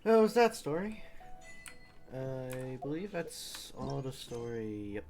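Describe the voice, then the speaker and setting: Casual male streamer over loud game music. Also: medium pitch, very animated, takes it slowly. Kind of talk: casual live commentary over gameplay.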